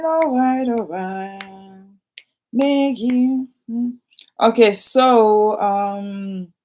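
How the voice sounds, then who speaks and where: A woman's voice: mid-range voice, rough recording. A woman with a French accent, a realtor chatting casually to her viewers while reading from her notes and adding asides.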